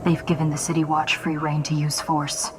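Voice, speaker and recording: Brief: actress as a brash female killer, level even delivery, mid pitch, ambient noise around. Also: close to an everyday voice. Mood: brisk. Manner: brisk, cocky, matter-of-fact. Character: cocky young female assassin lieutenant.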